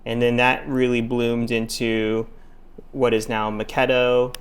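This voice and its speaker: A middle-aged man who grew up in Taiwan, a chef talking in a relaxed, deadpan way with many fillers during a casual interview. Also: mid-range voice, a little slow. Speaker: chef and restaurateur guest.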